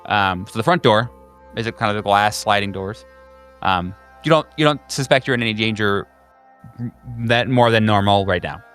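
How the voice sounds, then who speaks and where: Man, game master, low pitch, improvised scene narration, halting and conversational, background music. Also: a bit bright.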